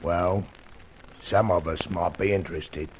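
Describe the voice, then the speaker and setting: An actor playing a terse, suspicious underworld barman, gruff and slangy, in old-time radio detective style. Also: low-pitched, steady tone, measured pace, poor audio. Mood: suspicious, probing. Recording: hissy old radio recording.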